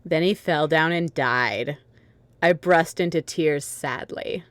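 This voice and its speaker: A woman's voice: low voice, slow, fairly bright tone, no room sound. A woman narrating a comic dramatic reading of fan fiction, with casual asides, as host.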